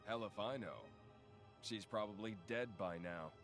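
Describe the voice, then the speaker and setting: An actor playing a menacing, sneering villain in a video game, taunting and gloating theatrically. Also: male voice, a little slow, music in the background, natural voice. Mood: mocking menace. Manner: taunting, mocking, swinging from low drawl to shouts. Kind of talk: dramatic video game voice acting.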